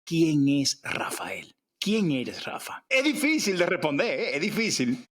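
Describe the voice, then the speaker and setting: A man's voice: a little high, big pitch swings, unhurried, fairly bright tone. A man hosting a podcast interview, introducing a guest in an enthusiastic, effusive way. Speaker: podcast host.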